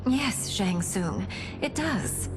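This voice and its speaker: A proud warrior princess, music behind. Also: female voice, medium pitch, lively intonation, long reverb tail. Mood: guarded. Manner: regal, formal, deliberate. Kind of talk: dramatic video game cutscene acting.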